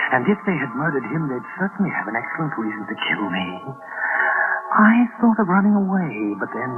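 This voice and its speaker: A man's voice: medium pitch, lightly acted. An actor playing a haunted, suspicious young man in an old-time radio drama, intense and melodramatic. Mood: brooding. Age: young adult. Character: haunted, suspicious young man narrating his nightmare story. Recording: tinny old radio recording with music.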